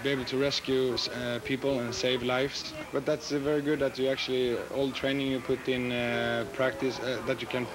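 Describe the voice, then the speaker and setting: Flat-voiced ship officer, man. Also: medium pitch, moderate pace, hardly any pauses, a crowd in the background. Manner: flat, hesitant with many fillers. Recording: noisy outdoor interview.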